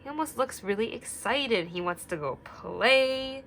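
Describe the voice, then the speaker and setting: A young woman teacher reading a picture book aloud and asking the children gentle questions, sometimes dropping to a whisper. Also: fairly high pitch, expressive, a little slow, slight background noise. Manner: drops to a whisper at quiet parts.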